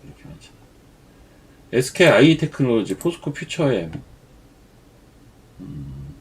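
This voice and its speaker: A man's voice: medium pitch, huge pitch swings, normal speed, breathy edge. A man with a cold giving chatty, rambling stock market commentary as the show's regular commentator. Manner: chatty, digresses into personal stories. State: has a cold. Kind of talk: casual market commentary on a live stream.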